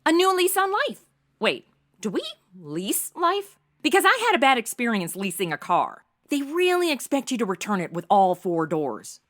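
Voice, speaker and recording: A woman's voice: a little high, big pitch swings, moderate pace, fairly bright tone. A woman playing a brash, ditzy, sarcastic modern young woman in a comedic audio drama, with slangy, theatrical delivery. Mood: whiny, musing.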